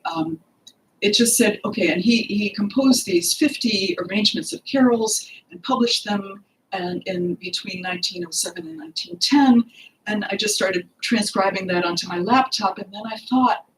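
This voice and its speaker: An older woman, the author, giving an earnest talk about her book at a podium in a library hall, pausing often with fillers. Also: mid-range voice, slight background noise. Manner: earnest and expressive, with frequent pauses and fillers. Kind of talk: lecture talk at a book launch. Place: library hall with bookshelves, indoors. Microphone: podium microphone.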